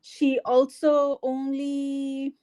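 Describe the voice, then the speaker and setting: Middle-aged indian lady, slightly high voice, lots of ums and restarts, chatty interview. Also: completely flat delivery, drawn-out words, dark tone. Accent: Indian English. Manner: hesitant, many fillers and restarts. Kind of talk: casual conversational interview.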